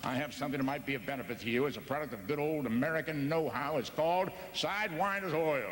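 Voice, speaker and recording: A man's voice: on the high side, fast, natural voice. A man playing a jovial, unflappable, bossy American father, folksy and blustering, in an audio drama. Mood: firm, salesmanlike. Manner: hearty, folksy, blustering, repeats himself. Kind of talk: theatrical audio drama acting. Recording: loud background noise.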